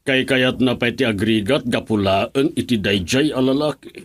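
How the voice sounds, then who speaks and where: A man's voice: mid-range voice, moderate pace, natural voice. A Filipino man in a radio drama, playing a stern, stubborn, opinionated middle-aged uncle. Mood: stern, scornful.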